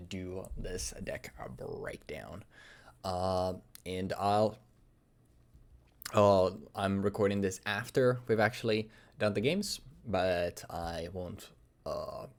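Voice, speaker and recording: A young man with a hoarse, worn voice from recent illness, casually talking through a card deck in a loose, rambling way full of fillers. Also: sits low. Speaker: card game YouTuber.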